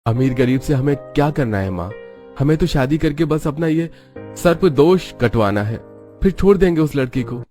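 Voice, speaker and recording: A man's voice: fairly high pitch, lively intonation, normal speed, dark tone, soft background music, natural voice. Man as a cold, contemptuous young bridegroom. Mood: callous. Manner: curt, contemptuous.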